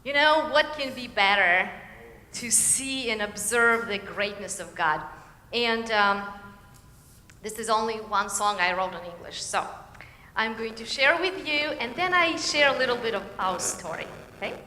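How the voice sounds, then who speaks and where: Ukrainian lady talking slow and halting at church, a bit high-pitched, long echo in the hall. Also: lively intonation, sharp tone, slight background noise. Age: 40s. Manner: halting, with many fillers. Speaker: guest singer. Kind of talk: church testimony and introduction. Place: church sanctuary. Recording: echoey church hall with music.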